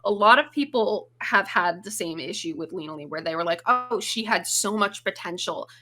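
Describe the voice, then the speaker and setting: A woman's voice: mid-range voice, expressive, normal speed, sharp tone. A woman who is a guest on a casual podcast, recorded remotely over the internet. She explains things at length and swears casually.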